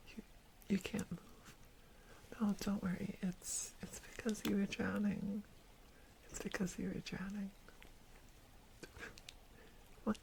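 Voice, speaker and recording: A woman's voice: medium pitch, vocal fry, a little mellow, low-quality recording. A woman voicing a jittery young female captor who talks in whispers and keeps repeating reassurances, in an ASMR roleplay. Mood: falsely sweet.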